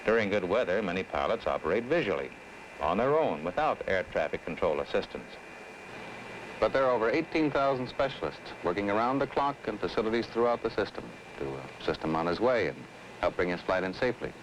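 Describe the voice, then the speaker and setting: Earnest, low-voiced American government specialist. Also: male voice, big pitch swings, poor audio. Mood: explaining. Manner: explanatory, measured, earnest. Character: affable, earnest government air traffic control specialist. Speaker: actor as air traffic specialist. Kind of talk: scripted 1960s educational film dialogue and narration. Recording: old 1960s film soundtrack, faint noise.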